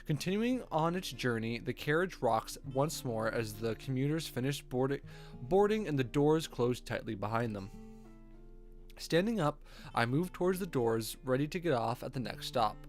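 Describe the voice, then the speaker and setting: Male streamer, wry and sweary, very expressive read of visual novel narration with casual commentary, music underneath. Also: mid-range voice, moderate pace. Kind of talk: reading game text aloud with casual asides. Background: music with guitar.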